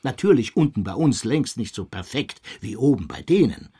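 A man acting in a German radio drama as a digressive, pedantic academic who tells his story in an animated, professorial way. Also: mid-range voice, normal speed, slightly rough. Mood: animated, lecturing. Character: digressive, pedantic male academic recounting a strange conference. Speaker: actor in a radio play.

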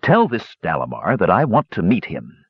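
A man voicing a scheming, greedy middle-aged shopkeeper who talks in a calculating, smooth way. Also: sits low, wildly swooping pitch, normal speed, no echo, lo-fi sound, exaggerated voice.

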